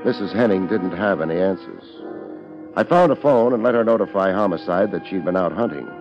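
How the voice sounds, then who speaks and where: An actor playing a laid-back, wisecracking private detective in a 1950s radio drama, with a wry, deadpan manner. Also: male voice, low voice, a little fast. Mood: wry narration. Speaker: lead actor and narrator. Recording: muffled old radio recording with music.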